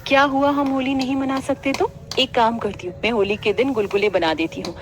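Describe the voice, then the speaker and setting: महिला अभिनेता सौम्य गरीब माँ, थोड़ी ऊँची आवाज़. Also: female voice, a little high, fairly even intonation, fairly quick, lightly acted. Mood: gentle, resigned. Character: gentle, poor, resilient young mother. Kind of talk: Hindi cartoon story voice acting.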